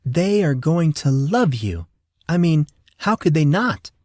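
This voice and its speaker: A woman's voice: low voice, big pitch swings, slow pace, mellow, no echo, clean studio sound, voice pushed up, exaggerated voice. A man voicing a caring woman who sounds encouraging but slightly worried. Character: warm, caring woman seeing a teen off.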